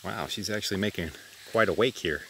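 Deep-voiced man rambling on a farm walk. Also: brisk, noisy background. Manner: rambling, relaxed, self-deprecating with restarts. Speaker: farm vlogger. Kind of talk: casual walk-and-talk farm tour. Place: outdoors by a creek on a tropical farm.

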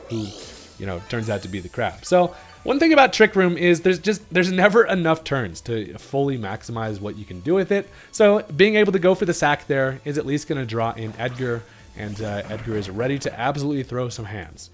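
A man giving casual, joking commentary over his own game battle, his voice still a little off from a recent illness. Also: on the high side. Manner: laid-back, jokey, swears casually. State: recovering from illness, voice slightly off. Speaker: gaming commentator.

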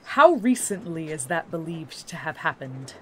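An actress playing an earnest young scholar, speaking in formal, precise, methodical phrases for a fantasy audio drama. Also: female voice, deep voice, big pitch swings, moderate pace, pushed and bright, a little hiss or hum, exaggerated voice. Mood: intent. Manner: formal, precise, bookish wording.

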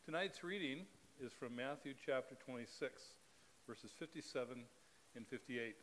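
A middle-aged man leads a spoken church liturgy from the pulpit. His reading is measured and steady, with an even cadence. He speaks into a pulpit microphone in a church sanctuary. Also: medium pitch, a little hiss or hum, rough recording. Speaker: pastor.

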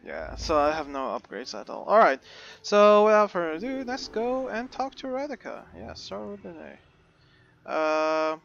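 A man's voice: sits high, big pitch swings, moderate pace, gravelly, a bit bright, dull audio. A man hosting a casual game let's play, rambling and hesitant, his voice a bit crackly. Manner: rambling, hesitant with many fillers. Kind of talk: casual gameplay commentary.

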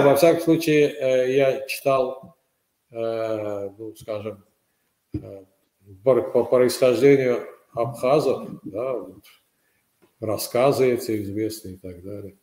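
An older man from Azerbaijan, a former foreign minister, telling stories in a measured, hesitant way as a guest on a video call from home. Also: mid-range voice, a little mellow. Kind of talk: casual interview over video call. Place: room at home, indoors. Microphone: webcam on video call. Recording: echoey room over webcam.

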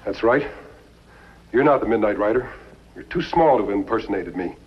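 Dull vintage soundtrack, husky masked cowboy hero, deliberate, authoritative, 1950s western. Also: male voice, lowish voice, animated. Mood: calm, assured. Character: calm, commanding masked male cowboy lawman. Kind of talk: 1950s television western acting.

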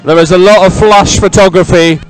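High-pitched male live sports commentator. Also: fairly even intonation, fairly quick, faint music behind, some chatter behind, poor audio. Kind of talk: live, ad-libbed event commentary.

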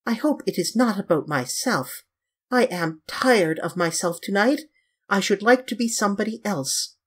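A man voicing a flippant, petulant young English gentleman with an airy, affected, dismissive manner in an audiobook story. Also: high voice, lively intonation, moderate pace, husky, pitched above the performer's own voice, heavily acted.

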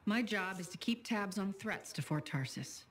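A woman's voice: medium pitch, expressive, moderate pace, a bit bright, slight background noise, close to an everyday voice. A woman voicing a cool, businesslike spymaster in a video game, composed and matter-of-fact. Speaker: intelligence agent handler.

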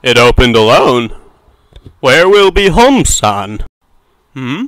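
A man's voice: medium pitch, sing-song, slow, a bit bright, no room sound, rough recording. A man voicing an earnest, exasperated young detective's assistant in an anime fan dub, with stilted, scolding delivery. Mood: narrating, puzzled.

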